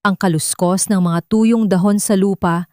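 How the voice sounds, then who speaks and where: A woman's voice: mid-range voice, fairly even intonation, normal speed, dark tone, no room sound, studio quality. A woman narrating a dramatic audio love story as scripted storytelling.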